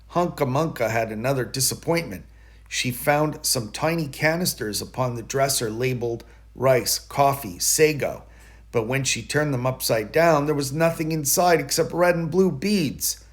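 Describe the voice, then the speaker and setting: A man narrating a children's bedtime story in an expressive way, leaning dramatically on key words. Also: mid-range voice, normal speed. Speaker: storybook reader.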